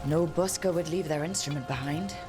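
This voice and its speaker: A woman's voice: low-pitched, a little fast, music in the background, natural voice. A woman voicing a cool, observant detective in a fantasy video game, thinking aloud in a terse, matter-of-fact way. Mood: focused, deducing.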